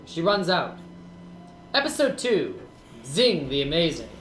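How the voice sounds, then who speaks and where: Low-voiced woman narrator, echoey and distant. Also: very animated, measured pace. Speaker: narrator reading stage directions. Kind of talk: scripted narration for audio drama. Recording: echoey, distant, music underneath.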